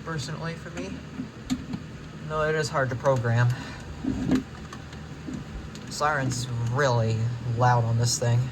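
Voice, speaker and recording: A man thinking aloud in a slow, halting way while setting up a device, with many pauses and okays. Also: mid-range voice. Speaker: hobbyist vlogger unboxing a weather radio. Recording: loud background noise.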